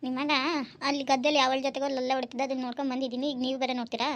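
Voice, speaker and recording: A woman playing a tearful, obedient young daughter-in-law who answers softly. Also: high voice, level tone, racing, slight background noise. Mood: meek. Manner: soft, obedient.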